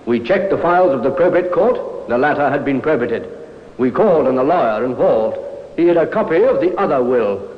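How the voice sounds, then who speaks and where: An actor playing a dutiful, matter-of-fact police sergeant, clipped and respectful, in old radio drama style. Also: male voice, fairly high pitch, lively intonation, fairly quick, close to an everyday voice. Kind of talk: 1950s radio drama acting. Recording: echoey, muffled old radio recording.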